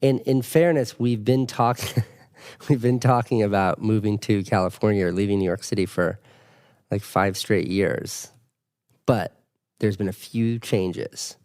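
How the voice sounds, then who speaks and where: A man's voice: lowish voice, steady tone, unhurried, darkish tone, smooth, dry recording. A man in his thirties co-hosting a casual podcast with his wife, dry and teasing. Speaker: podcast co-host. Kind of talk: casual couple chat. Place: home in New York City.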